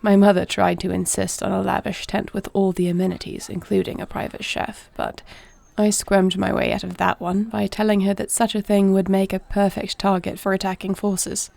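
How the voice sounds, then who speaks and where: A woman playing a duty-bound, caring warrior princess in an audio roleplay, speaking to the listener in a formal, measured, creaky voice. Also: mid-range voice, flat delivery. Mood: reserved, sincere. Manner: formal, measured, soft-spoken speech.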